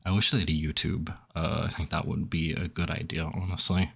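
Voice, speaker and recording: Deep-voiced young man rambling casually. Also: level tone, a little fast, vocal fry, fairly bright tone, slightly rough. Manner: rambling, heavy on "like", reads options aloud then reacts. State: phlegmy throat. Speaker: gaming streamer. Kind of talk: casual commentary while filling out a survey.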